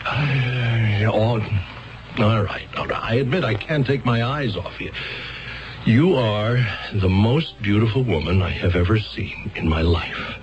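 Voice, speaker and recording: An actor in a radio mystery drama playing an incredulous, exasperated defense attorney who stammers and gets wry. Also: male voice, on the low side, unhurried, lightly acted. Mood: flustered, disbelieving. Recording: muffled old radio broadcast.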